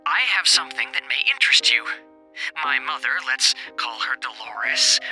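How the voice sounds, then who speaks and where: Sharp, forceful-toned nervous male tipster played by an actor. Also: fairly high pitch, rough recording. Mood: hesitant. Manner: hesitant, secretive. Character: nervous anonymous male tipster leaving cryptic warnings. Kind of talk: voicemail message in an audio drama.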